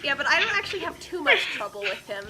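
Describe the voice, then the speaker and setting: A woman's voice: high-pitched, very animated, quick pace, bright, forceful tone. A woman co-hosting a dramatic fanfic reading with casual chat, theatrical when she reads. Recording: music behind the voice. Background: music.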